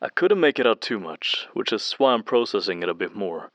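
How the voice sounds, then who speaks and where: A man's voice: on the low side, sing-song, fairly quick, fairly bright tone, dry recording. A man acting as a friendly, eager audio technician and fan in an audio drama, chatty and a little hesitant, heard over a phone. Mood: excited, rambling. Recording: muffled band-limited phone call, dry.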